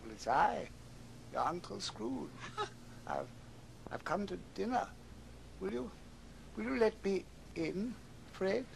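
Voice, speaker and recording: An actor with a British accent playing an effusive, stammering elderly Victorian gentleman in an old radio drama, with a creaky, high voice. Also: animated, slow pace, long pauses, very noisy, exaggerated voice. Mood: sheepish. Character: giddy, overjoyed elderly Victorian English gentleman miser reformed.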